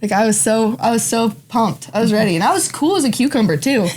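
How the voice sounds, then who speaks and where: Young adult woman, boxing coach guest, animated storytelling, chatty fillers. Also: a little high, fast. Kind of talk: personal interview.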